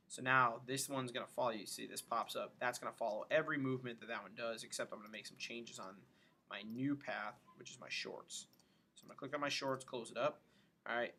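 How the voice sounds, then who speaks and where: A man giving a casual software tutorial, thinking aloud informally as he works through each step. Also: mid-range voice, slight background noise.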